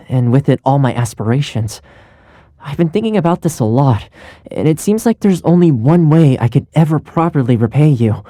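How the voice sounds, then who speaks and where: A man voices a kind young male game character, a polite and caring housekeeper. His delivery is easygoing and earnest, with a soft, mellow tone and lively, swinging intonation. The sound is dry and close. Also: fairly high pitch, no room sound, lightly acted. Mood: earnest, thoughtful. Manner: warm, easygoing, chatty, earnest. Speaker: video game voice actor. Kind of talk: scripted game character voice lines.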